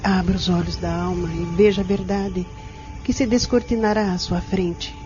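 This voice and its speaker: An actress plays a gentle, firm spiritual counsellor in an audio drama. Her voice is soft-toned and mid-pitched, patient and earnest. The recording is slightly dull, with music underneath. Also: steady tone, normal speed, lightly acted. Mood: patient, persuasive.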